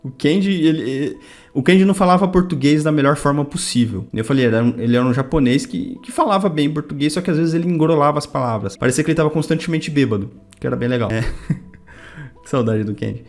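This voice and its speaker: A man's voice: a little high, quick pace. Male vlogger, twenties, São Paulo state Brazilian accent, slangy casual storyteller, music underneath. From Campinas, Brazil. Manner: informal, slangy, animated retelling with quoted dialogue. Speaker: video creator telling personal stories. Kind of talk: casual personal storytelling to viewers.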